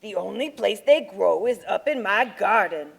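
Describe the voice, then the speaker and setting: A woman voicing a shy, gentle male Yeti in a children's puppet show, speaking in a mild, hesitant way. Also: mid-range voice, expressive, moderate pace, vocal fry, voice pushed down. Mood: protective. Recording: live puppet show performance.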